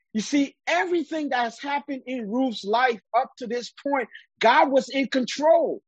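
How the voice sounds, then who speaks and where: A man's voice: very high voice, breathy edge, no room sound, muffled recording. A man from Liberia preaching a sermon, emphatic and often repeating words while he gathers his thoughts.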